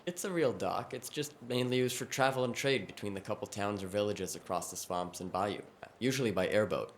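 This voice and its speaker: A man's voice: lowish voice, fairly quick, a little hiss or hum, natural voice. A man playing a knowledgeable, matter-of-fact crew member in a cyberpunk audio drama, explaining things plainly.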